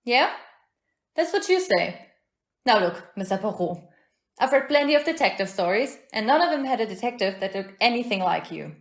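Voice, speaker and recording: A woman's voice: on the high side, very animated, moderate pace. Woman acting feisty, defiant lady in echoey room. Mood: indignant, defiant. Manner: brusque, indignant, plain-spoken. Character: feisty, defiant single woman hotel resident, unflappable. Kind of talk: audio play acting.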